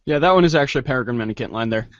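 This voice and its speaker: A man making casual, irreverent and excitable interjections during a script reading. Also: medium pitch, racing, no echo, lo-fi sound. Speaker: page-turn caller and commenter in a reader's theater show.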